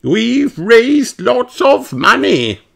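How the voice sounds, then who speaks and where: A man's voice: very high voice, sing-song, very slow, creaky, pitched above the performer's own voice, exaggerated voice. A man voicing an enthusiastic female gazelle schoolteacher in a children's storybook. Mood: delighted. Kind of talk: children's storybook read-aloud.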